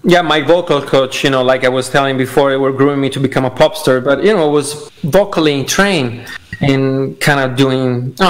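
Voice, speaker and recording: A man's voice: medium pitch, a bit bright, rough recording. A young man, a guest rock singer, talking hesitantly with many fillers over a headset on a video call. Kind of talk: casual interview chat. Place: home room.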